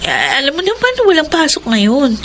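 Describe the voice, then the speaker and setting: An actress playing a lovestruck, defiant young student daughter in a Filipino radio drama. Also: female voice, high-pitched, wildly swooping pitch, normal speed, a bit bright, exaggerated voice. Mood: hesitant, coy. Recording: noisy radio drama broadcast.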